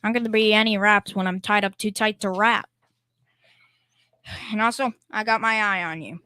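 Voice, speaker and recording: Young woman doing cowardly blowhard radio sitcom dad, folksy wisecracks, mid pitch for a woman, dry recording. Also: fairly even intonation, normal speed, sharp tone, clear, resonant tone, no echo, natural voice. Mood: reluctant sarcasm. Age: teenager. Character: blustering, cowardly middle-aged working-class father. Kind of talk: old-time radio comedy acting.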